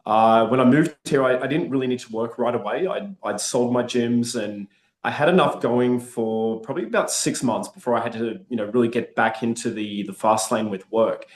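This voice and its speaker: A man's voice: medium pitch, moderate pace, reverberant. A man from Australia with an Australian accent, chatting upbeat and optimistic as a guest in an interview. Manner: upbeat, chatty, frequent restarts. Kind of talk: casual interview.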